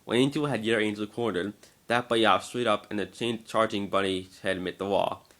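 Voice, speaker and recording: A man's voice: on the low side, fairly quick, fairly bright tone. A man giving an amateur scripted narration of a story, now and then stumbling over the words.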